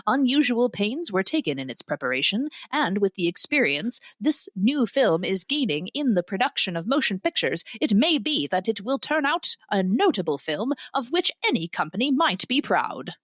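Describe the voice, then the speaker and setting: A woman's voice: medium pitch, big pitch swings, moderate pace, hardly any pauses, no echo, clean studio sound. A woman voicing an old-timey newsman who reads film reviews in a formal, declamatory announcer style. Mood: stately promotional. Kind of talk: old-time newsreel announcer reading.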